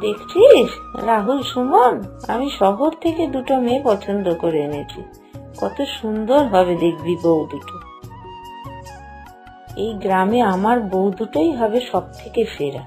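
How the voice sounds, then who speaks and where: A woman's voice: mid-range voice, sing-song, unhurried, mellow, faint music behind. A woman voicing a doting, easily flustered middle-aged village mother-in-law in a moral-story cartoon. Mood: delighted.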